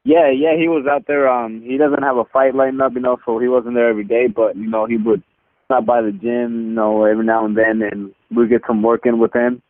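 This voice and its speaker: Man on a tinny phone line, halting. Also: medium pitch, level tone, no room sound. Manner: halting, repeats words, peppers talk with "you know" and "man". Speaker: boxer being interviewed. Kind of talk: casual press call answers. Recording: narrow muffled telephone conference line.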